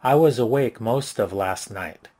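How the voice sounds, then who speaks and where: A man reading English example sentences for a lesson, each one said twice in an even, deliberate way. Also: lowish voice.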